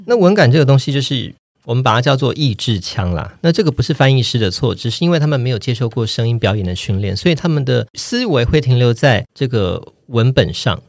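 Taiwanese guy explaining stuff clearly on a podcast, medium pitch, really dry audio. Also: darkish tone, no echo. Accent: Taiwanese Mandarin. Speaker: voice actor and voice director, podcast guest. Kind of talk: explanatory interview talk.

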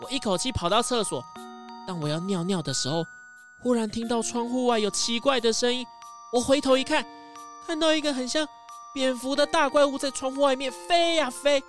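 A woman's voice: high voice, brisk, natural voice. A timid small child voiced by a woman talks in an earnest, frightened way. It sounds like a children's mystery play set in a castle, with music underneath. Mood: scared. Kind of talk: children's audio mystery drama. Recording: music behind the voice.

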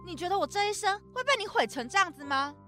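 A woman's voice: very high voice, animated, fast, music in the background. A woman in an amateur radio drama playing a bitter, rejecting mother who blames her child.

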